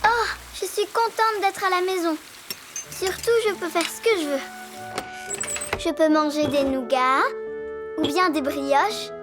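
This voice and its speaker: A woman voicing a willful, greedy little girl elephant in a children's cartoon, childlike and exclamatory, drawing out her words. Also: extremely high pitch. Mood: self-satisfied, longing. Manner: self-centred, exclamatory, drawn-out words. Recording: loud children's music underneath.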